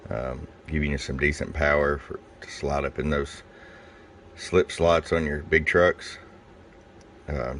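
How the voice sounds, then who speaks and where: Male reviewer, extremely deep pitch, plain-spoken and casual hands-on demo delivery, faint noise behind the voice. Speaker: radio seller demonstrating a product.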